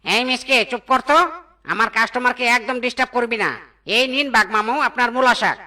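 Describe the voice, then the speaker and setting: A sly male monkey in a cartoon comedy. The tone is bright and forceful, wheedling and wily, with a show of false friendliness. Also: mid-range voice, normal speed. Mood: pushy. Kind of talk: comic cartoon dialogue.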